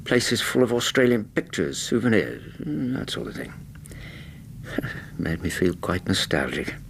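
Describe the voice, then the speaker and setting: Courteous English physician for radio play: low, very expressive intonation, reassuring bedside manner, tactful questions. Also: male voice, unhurried, creaky, slight background noise, full character voice. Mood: conversational, reporting. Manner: courteous, reassuring, gently probing. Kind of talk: radio drama acting.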